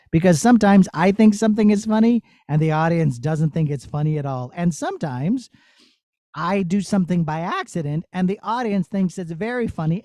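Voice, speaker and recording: Male puppeteer, soft mellow tone, dry recording, encouraging and explanatory with children. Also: fairly high pitch, no room sound. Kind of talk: answering children's questions.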